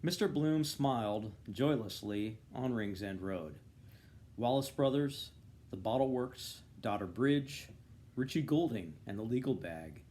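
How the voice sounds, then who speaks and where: A man narrates a novel in an expressive literary reading. His voice sits at a medium pitch and moves with very lively, varied intonation. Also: measured pace. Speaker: reader of a novel, volunteer.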